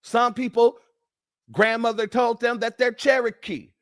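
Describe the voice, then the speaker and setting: A man's voice: extremely high pitch, level tone, measured pace, dry recording, clean studio sound. A man hosting a livestream, delivering fiery, emphatic and profane preaching-style commentary and thanking donors by name. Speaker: livestream host.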